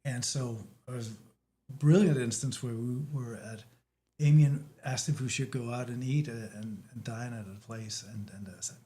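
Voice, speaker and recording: A man from Denmark, not a native speaker, chatting as a guest and pausing often to search for words. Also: medium pitch, steady tone, dark tone. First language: Danish. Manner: halting, frequent restarts, searching for words. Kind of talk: casual chat.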